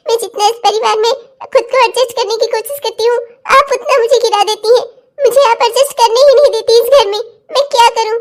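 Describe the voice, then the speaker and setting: A woman's voice: extremely high pitch, fairly bright tone. A woman playing a hurt young newlywed daughter-in-law in a family melodrama, plaintive and pleading. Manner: plaintive, pleading, self-pitying. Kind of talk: melodramatic family story acting.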